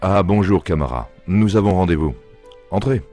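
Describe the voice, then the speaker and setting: A man's voice: low voice, lively intonation, over music. A man playing a composed, authoritative doctor who explains things in an educational cartoon. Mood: composed, instructive. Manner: explanatory, measured. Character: composed, authoritative male doctor advising his patient. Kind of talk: scripted educational cartoon dialogue.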